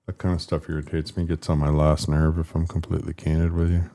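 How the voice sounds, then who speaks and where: A man with a deep, rumbling voice hosting a casual livestream, rambling and frustrated as he fixes his stream settings. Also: monotone, quick pace, dark tone. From Canada. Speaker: political livestream host.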